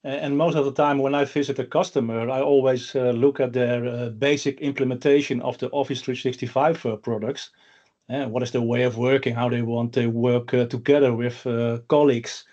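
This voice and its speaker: A man from the Netherlands hosting an online panel, putting steady, plain questions to his guests. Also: medium pitch, fairly quick, highs cut off. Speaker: host and consultant.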